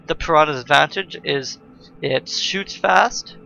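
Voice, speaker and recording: A man's voice: fairly high pitch, a little slow, gravelly, bright, forceful tone, dull audio, faint music behind. A man giving casual, explanatory let's play commentary as the host of a gaming video, informal in manner.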